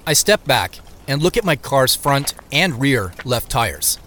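An actor narrating an audio drama in the first person as a man telling of his troubled day, wry and measured. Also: mid-range voice, sing-song, a bit bright. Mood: observant, dismayed.

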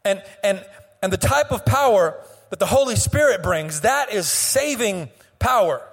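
A slightly hoarse young man preaching a sermon as pastor. He speaks with passion, stretches and half-sings phrases, and often restarts his words. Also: high voice, expressive, a bit bright, big-room sound. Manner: impassioned preaching with sung, drawn-out phrases and frequent restarts. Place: church sanctuary.

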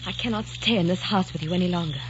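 A woman's voice: fairly low pitch, fast talker, lightly acted. An actress playing a frightened, defiant young woman in a 1940s radio drama, emotional and scornful. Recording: dull lo-fi 1940s radio broadcast with faint hiss.

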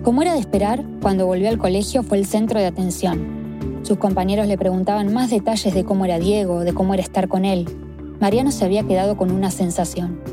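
A woman reporter narrating a documentary story in a measured, descriptive way. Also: mid-range voice, fairly even intonation. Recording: clear music bed underneath.

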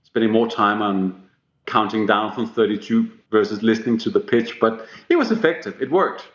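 A man's voice: on the low side, normal speed, echoey room, dull audio. A man whose first language is Danish, a guest executive talking earnestly and emphatically about enterprise sales in a business interview.

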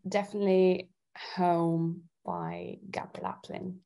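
A woman from Italy chatting casually as an interview guest, thinking out loud with hesitant phrasing. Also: lowish voice, very animated, slow pace, creaky. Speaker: singer-songwriter guest.

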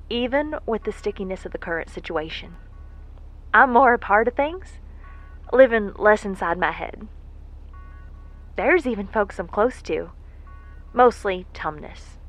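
A woman playing an earnest, vulnerable worker recording a heartfelt message in an audio drama, speaking in a folksy, halting, reflective way. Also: medium pitch, animated. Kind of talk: intimate monologue in an audio drama. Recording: muffled lo-fi audio with music behind. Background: music.

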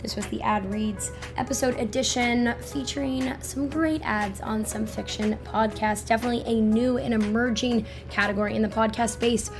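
Female podcast host, slightly high pitch, casual intro style over music. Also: fairly even intonation, normal speed, few pauses. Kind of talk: casual scripted show introduction and sign-off.

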